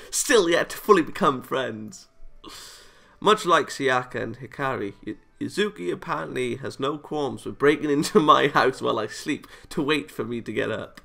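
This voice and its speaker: A man's voice: mid-range voice, creaky, sharp tone. A man reading a visual novel aloud for a let's play, playful and exclaiming, breaking into joking asides. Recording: music behind the voice. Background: visual novel game music.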